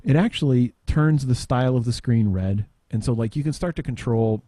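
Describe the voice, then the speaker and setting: A man giving an informal software demo, halting and tangential as he thinks aloud in casual talk. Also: mid-range voice, no room sound. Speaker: podcast panelist demonstrating software.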